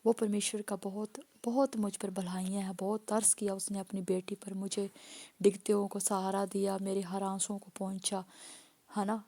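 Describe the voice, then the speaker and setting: A woman pastor preaching a sermon with a hoarse voice, driving points home through repeated phrases and calls of hallelujah. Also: mid-range voice, little pitch movement, normal speed, mellow.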